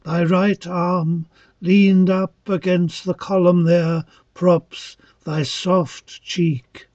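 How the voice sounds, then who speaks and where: Man playing an entranced youth, very slow, high-pitched. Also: little pitch movement, darkish tone, dry recording. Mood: rapt, dreamy. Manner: solemn, declamatory, halting phrases. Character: entranced young male reveller in ancient Greece, awed, devout. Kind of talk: dramatic poetry recital. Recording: dull lo-fi, dry close recording.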